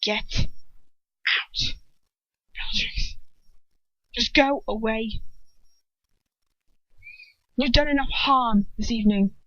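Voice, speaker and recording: A woman's voice: fairly high pitch, drawn-out words, pauses often, a bit bright, no echo. Woman putting on a cold, domineering wizard father. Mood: cold dismissal. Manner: stern, clipped commands, hissed contempt. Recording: dull lo-fi home recording.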